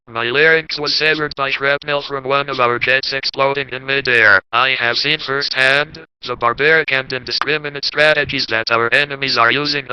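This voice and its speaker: A grim wartime president gives a defiant address in a flat, crisp, very bright tone, played by a man. His injured voice comes through an aid. The broadcast sound is dull and lo-fi. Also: mid-range voice. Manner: grave, defiant speech. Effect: voice aid after larynx injury.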